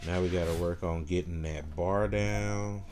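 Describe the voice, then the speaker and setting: A man's voice: low-pitched, steady tone, unhurried. A man giving casual, step-by-step live commentary as he guides viewers through a video game battle. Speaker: gameplay guide creator and commentator. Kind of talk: live gameplay commentary, walkthrough. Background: game music behind the voice.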